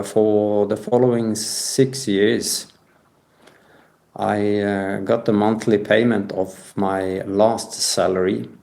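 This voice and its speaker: A man giving a personal account as an interview guest, speaking with halting, hesitant phrasing. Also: on the low side, gravelly, a little mellow. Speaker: podcast guest.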